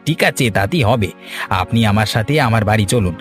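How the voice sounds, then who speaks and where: A man's voice: on the low side, animated, moderate pace, lightly acted. A man voicing a greedy, sly village trader in a cartoon fairy tale, with a glib, persuasive, scheming way of talking. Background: background music.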